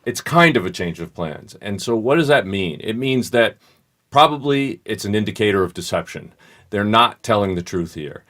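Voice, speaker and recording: A man co-hosting a true crime podcast, explaining details in a measured, analytical way. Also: on the low side, lively intonation, a bit bright. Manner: measured, explanatory, thinks aloud. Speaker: podcast co-host. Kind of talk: casual analytical discussion.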